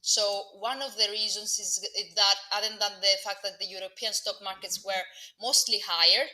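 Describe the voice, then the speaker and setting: A young Greek Cypriot woman walks viewers through live market commentary in a very bright, crisp-toned voice. She hesitates often, filling gaps with ums and restarting phrases, and breaks off to address the audience directly. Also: medium pitch, fairly even intonation, normal speed, rough recording. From Cyprus. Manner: hesitant, many fillers and restarts, chatty asides to viewers. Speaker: market analyst presenting a live webinar.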